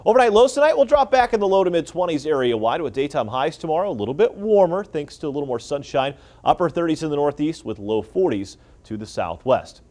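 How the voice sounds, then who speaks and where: Fast-talking American TV weatherman. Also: fairly high pitch, big pitch swings, darkish tone. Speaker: TV weather forecaster. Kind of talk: live broadcast weather forecast.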